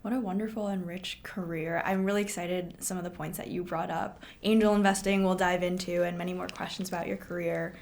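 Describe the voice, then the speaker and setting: A woman's voice: fairly low pitch, steady tone, fairly quick, hardly any pauses. A woman hosting an interview podcast, affirming her guest often and asking questions in a casual, friendly way. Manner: warm, affirming, many mm-hmms. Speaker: podcast host.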